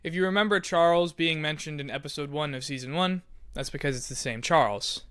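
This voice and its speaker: A man's voice: on the high side, fairly quick, a bit bright, smooth, dry recording, studio quality. A man hosting in an informal, self-deprecating way, casually explaining a story to listeners. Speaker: podcast host and creator.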